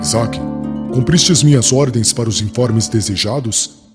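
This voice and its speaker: A man's voice: mid-range voice, measured pace, loud music behind the voice, natural voice. A man playing a young religious inquisitor, formal, commanding and coldly cruel, in a dramatized audiobook.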